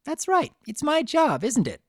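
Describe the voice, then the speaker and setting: A man voicing a bubbly, excitable young female cartoon pony in a gushing, playful, sing-song way. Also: extremely high pitch, normal speed, dry recording, clean studio sound, pitched above the performer's own voice, exaggerated voice. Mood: happy, playful.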